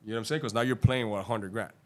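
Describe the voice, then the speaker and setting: Man, from Cuba, podcast guest, casual, swears a lot, rambling, quick. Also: on the low side, steady tone, darkish tone. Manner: profane, rambling, talks fast with lots of fillers. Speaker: guest, day trader.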